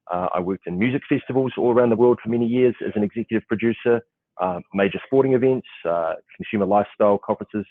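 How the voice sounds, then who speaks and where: A man's voice: lowish voice, dry recording, phone-quality sound. A New Zealand man in his forties, moderating an online panel in a loose, chatty way with lots of "uh" fillers. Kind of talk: casual online panel hosting. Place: client's office in Seattle, crew setting up.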